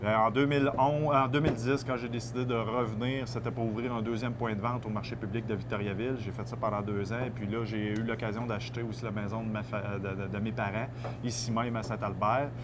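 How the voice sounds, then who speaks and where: A man's voice: lowish voice, steady tone, fast talker, background noise. A man in his thirties with a Québécois French accent, a small-business owner who explains his work eagerly, with many fillers. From Québec. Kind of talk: casual interview.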